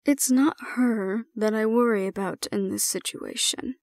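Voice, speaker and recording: A woman playing a composed, stern female supervisor in an audio roleplay, speaking in a measured, formal and deliberate way. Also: fairly high pitch, a little mellow, dry recording, studio quality. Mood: calm, formal. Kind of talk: scripted audio roleplay acting.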